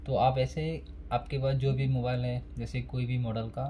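A man's voice: mid-range voice, little pitch movement, moderate pace, mellow, a little hiss or hum. A man giving a casual step-by-step phone repair tutorial, speaking plainly and repeating each step while working at a desk. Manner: plain, repetitive, instructional.

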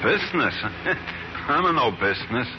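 An actor playing a folksy, shifty retired crook in a 1950s radio drama, hesitant in delivery. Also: male voice, medium pitch, very animated, normal speed, natural voice. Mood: genial, evasive. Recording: noisy, muffled 1950s radio recording.

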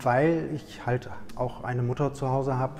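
A middle-aged man, a technical director, speaking in a measured, slightly hesitant way in a corporate video interview on a lapel mic. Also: medium pitch, fairly even intonation, darkish tone, big-room sound. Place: indoor showroom with product shelves.

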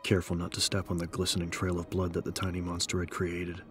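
A man's voice: sits low, completely flat delivery, fast talker, fairly bright tone. A man narrating a horror audiobook in a scripted, dramatic style. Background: background music.

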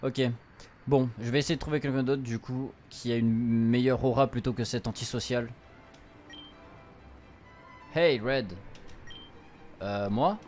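A man's voice: medium pitch, unhurried, long pauses, a little mellow. A young man doing a casual let's play, translating and reading game dialogue aloud in an informal, slangy way. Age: young adult. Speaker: streamer. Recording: music playing behind the voice. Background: video game music.

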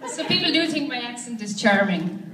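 A wry Irish woman performs stand-up in a comedy club. Her voice sits a little high. It is caught from far back in an echoey room, over loud audience laughter. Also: a little fast, a crowd in the background. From Ireland. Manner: wry, deadpan storytelling with punchlines. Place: comedy club stage before a live audience. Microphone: handheld mic, filmed from the audience.

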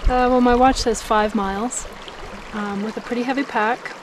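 A middle-aged woman chatting casually to her camera while hiking, relaxed and laughing often, recorded outdoors on a small action camera. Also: on the high side, moderate pace. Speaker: vlogger. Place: outdoors by a mountain lake and on a trail. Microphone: action camera, handheld or at arm's length. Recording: outdoor camera audio with stream and wind noise.

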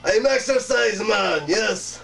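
An actor playing a hyper, boastful fitness-machine salesman who talks in broken English and shouts his own name, on an improvised comedy talk show. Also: high voice, animated, slow pace, gravelly, full character voice. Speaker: guest character. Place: small indoor set, couch and table. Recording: distant camera audio with hum.

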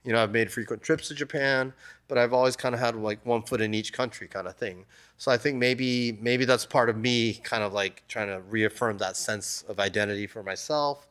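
A man's voice: medium pitch, moderate pace. A man in his thirties, a tattoo artist guest, talking casually and loosely, with lots of "like" and "you know", on a desk mic in a shop. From United States. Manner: relaxed, rambling, many "like" and "you know" fillers. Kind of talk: casual interview talk. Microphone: desk mic on stand.

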